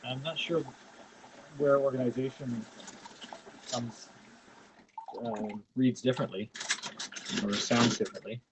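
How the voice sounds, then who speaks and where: A man's voice: mid-range voice, fairly even intonation, unhurried, long pauses. A middle-aged man from Ontario with a small-town Canadian accent, chatting casually on a livestream with many fillers and restarts. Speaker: livestream host. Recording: loud background noise.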